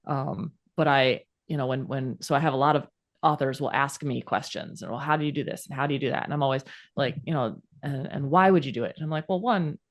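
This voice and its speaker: A woman's voice: a bass voice, a little fast. A woman, an author hosting the show, chatting casually and enthusiastically, with frequent affirming "yes" and "yeah". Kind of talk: casual interview chat.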